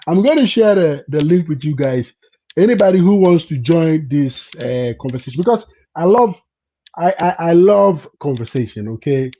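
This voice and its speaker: A man from Ghana chatting live with viewers, repeating words and often asking if they understand. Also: fairly high pitch. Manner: keeps checking in with "you understand?". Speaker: vlogger hosting a live chat. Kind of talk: casual live-stream chat with viewers. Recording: dull lo-fi sound.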